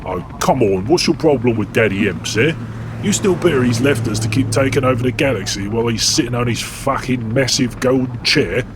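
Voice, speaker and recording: A man's voice: medium pitch, brisk, lightly acted. A man voicing a brash, laddish demigod warrior who banters bluntly and crudely. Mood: mocking. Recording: steady background noise.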